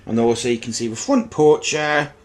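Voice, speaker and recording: A man's voice: mid-range voice, moderate pace. A man with a slightly bunged-up, stuffy-nosed voice, giving informal, rambling and apologetic commentary as he presents an item in his own video. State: stuffy with a blocked nose. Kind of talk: casual unboxing-style product commentary.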